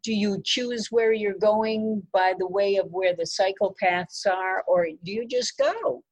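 A woman hosts a radio magazine interview and asks curious, friendly questions. Her voice is breathy, and the sound is dry and close with no room around it. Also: mid-range voice, measured pace, darkish tone, no echo, dull audio. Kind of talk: interview hosting.

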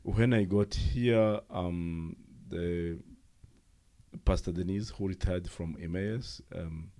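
A middle-aged man from Rwanda with a Rwandan accent, a non-native English speaker, telling his own story haltingly in an interview. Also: low-pitched, mellow. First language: not English. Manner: halting, pauses often. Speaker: interviewee, former refugee. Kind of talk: personal account in an interview.

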